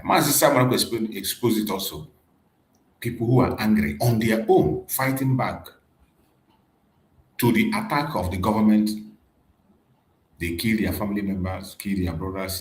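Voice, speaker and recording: A man talks over clips on a livestream, pressing his points and repeating them for weight. His voice sits low, and it rings in an echoey room. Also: slight background noise. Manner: emphatic, repeats key points. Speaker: livestream commentator. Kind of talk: commentary on played clips.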